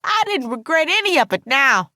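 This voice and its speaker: A woman's voice: sits high, big pitch swings, pushed and bright, dry recording, clean studio sound, pitched above the performer's own voice, full character voice. A woman voicing an enraged, betrayed, foul-mouthed woman in a dramatic audiobook, taunting and indignant, leaning hard on her words. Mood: gloating, spiteful. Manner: taunting, indignant, drawn-out emphatic delivery. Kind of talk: dramatic audiobook character dialogue.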